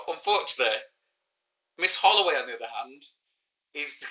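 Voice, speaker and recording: A man's voice: mid-range voice, bright, forceful tone, poor audio. A man in his forties with a Manchester accent, a teacher hosting a live online quiz in a casual, joking, self-mocking way. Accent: Mancunian. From Manchester, England. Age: 40s. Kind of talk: casual livestreamed lesson.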